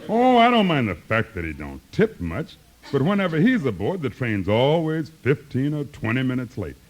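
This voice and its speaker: A man's voice: medium pitch, very animated, normal speed, rough recording. A man playing a puzzled, grumbling railroad attendant with folksy griping in a 1950s radio comedy.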